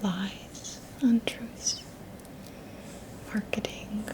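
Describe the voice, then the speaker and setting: A woman whispering breathily in a soothing ASMR style as a Reiki teacher guiding an energy-healing session, often repeating soft phrases. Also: mid-range voice, fairly even intonation. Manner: slow, soothing, repeats words and phrases in a trailing murmur.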